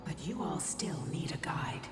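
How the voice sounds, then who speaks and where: Game casting: wise formal guide, mid-pitched, expressive, in a distant reverberant hall with loud music. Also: female voice, moderate pace. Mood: composed, advising. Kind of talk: dramatic video game voice acting.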